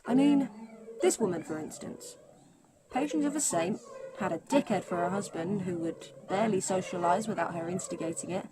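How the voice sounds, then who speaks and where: A woman's voice: mid-range voice, moderate pace, lightly acted. A woman playing Death in an audio drama as a sweary, blunt, exasperated afterlife administrator, talking conversationally. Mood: indignant. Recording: clearly noisy background.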